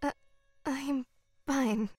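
An actress playing a gentle, guarded mermaid who passes as an ordinary young woman, hesitant and naive, in an audio drama. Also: sits high, steady tone, drawn-out words, faint music behind. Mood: subdued, insistent. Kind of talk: naturalistic audio drama acting.

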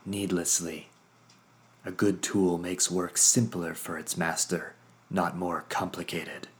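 A man's voice: sits low, little pitch movement, slow. A man voicing a cold, haughty, antlered spirit woman who speaks in clipped remarks.

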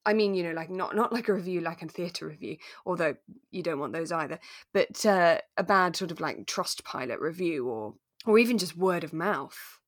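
A woman's voice: fairly low pitch, moderate pace. A woman co-hosting a podcast in casual, conversational chat, often acting out little imagined remarks. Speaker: podcast co-host.

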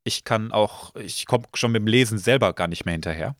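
Slightly hoarse man hosting a podcast. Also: fairly low pitch, brisk, no echo, clean studio sound. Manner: relaxed, many filler sounds. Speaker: podcast host. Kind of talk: casual podcast chat.

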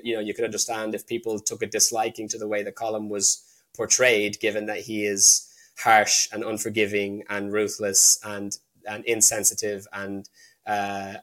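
A young man talking casually as an interview guest, halting with frequent restarts and fillers. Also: on the low side, fairly bright tone. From Ireland. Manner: hesitant, many restarts and fillers.

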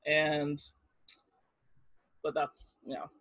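A man in his thirties telling personal stories in an interview, halting with fillers and restarts and laughing easily. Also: on the high side, monotone, a bit bright, no echo. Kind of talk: casual storytelling interview.

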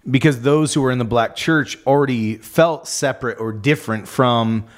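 A man's voice: medium pitch, moderate pace. A man who is a pastor co-hosting a conversation, summarizing and affirming his guest's experiences. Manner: affirming, summarizes the other speaker's story. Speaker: pastor co-host. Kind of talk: conversational podcast co-host.

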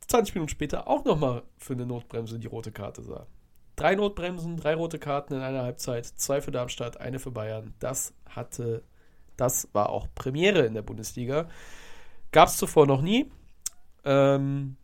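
Male podcast host, stuffy nose from a cold, gravelly voice, rambling, self-correcting football talk. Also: mid-range voice, darkish tone. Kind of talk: casual solo podcast.

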